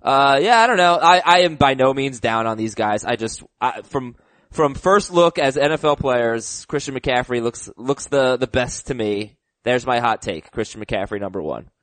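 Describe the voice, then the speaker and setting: Man, podcast host, slightly sick voice, animated, dry close sound. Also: mid-range voice, moderate pace, no room sound. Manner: animated, hot takes, restarts. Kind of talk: casual sports chat.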